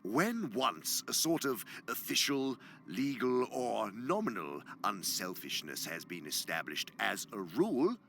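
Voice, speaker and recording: A sly senior male demon in a radio drama. His voice is creaky, sharp and sweeps widely in pitch. He lectures with pompous, gloating relish and can sink into a whisper. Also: sing-song, measured pace, few pauses, slight background noise, exaggerated voice. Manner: pompous, dramatic, savoring words, dropping into whispers. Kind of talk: theatrical radio drama monologue.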